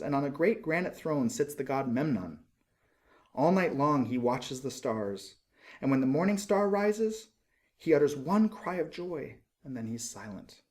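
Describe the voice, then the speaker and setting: Man voicing an eager little swallow, lively pitch. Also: close to an everyday voice. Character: small, kind-hearted, eager male swallow.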